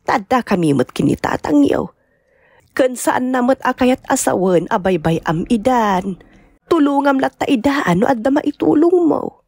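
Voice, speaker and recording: A woman with an Ilocano accent acting a caring elderly mother in an audio drama, gentle and earnest. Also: high voice, animated, measured pace, vocal fry, husky, no room sound, full character voice. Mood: sorrowful, earnest.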